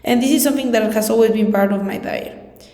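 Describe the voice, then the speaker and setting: A woman's voice: fairly high pitch, fairly quick, warm tone. Young woman reading in echoey hall. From Mexico. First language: Spanish. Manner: careful, formal reading with small restarts. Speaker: student presenter. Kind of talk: read school presentation. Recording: echoey room with long reverb and faint music.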